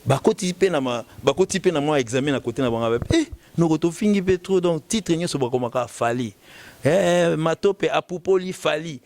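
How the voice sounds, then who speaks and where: A man's voice: fairly high pitch, expressive, normal speed, a little mellow. A man with a Congolese accent talking casually and giving opinions, often repeating words as the argument builds. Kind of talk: casual opinionated talk mixing French and Lingala.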